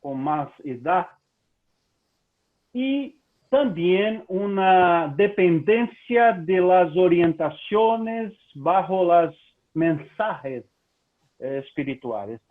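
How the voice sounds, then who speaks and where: A man's voice: sits high, expressive. A man from Brazil speaking Spanish with a Brazilian Portuguese accent, giving a formal lecture and mixing in Portuguese words. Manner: slow, deliberate, mixes Portuguese into Spanish. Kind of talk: formal lecture over video call. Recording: tinny phone-like audio.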